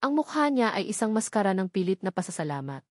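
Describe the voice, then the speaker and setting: A woman's voice: medium pitch, sing-song, quick pace, dry recording, studio quality. A woman with a Filipino accent narrating a scripted dramatic love story as an audio drama.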